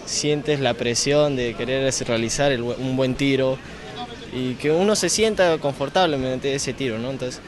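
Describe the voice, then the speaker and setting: A man's voice: mid-range voice, moderate pace. A young man with a Peruvian accent, an athlete talking conversationally in an interview. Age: young adult. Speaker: bowling athlete. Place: large indoor bowling alley. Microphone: handheld interview microphone. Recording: busy bowling alley chatter.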